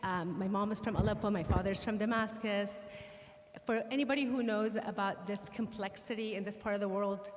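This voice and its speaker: A woman from Syria giving an earnest personal talk to an audience, telling her story in a measured way. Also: mid-range voice, fairly even intonation, a little hiss or hum. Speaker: guest speaker. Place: synagogue hall. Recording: reverberant hall.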